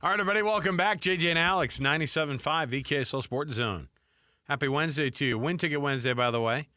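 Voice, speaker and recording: A man's voice: fairly high pitch, quick pace, sharp tone, faint music behind. An American man hosting sports talk radio in a chatty, conversational, effusive way on a close studio mic.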